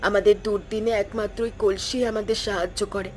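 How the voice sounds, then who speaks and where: Woman as even-toned old village wife. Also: medium pitch, a little fast, faint music behind, lightly acted. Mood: reassuring. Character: poor old village wife, gently reassuring. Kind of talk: Bengali fairy-tale cartoon dialogue.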